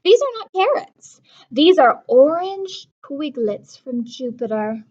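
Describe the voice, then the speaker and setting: A woman voicing a clever, coaxing older brother in a storybook, expressive and mock-serious. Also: high voice, slow pace, muffled recording, heavily acted. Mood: playful coaxing.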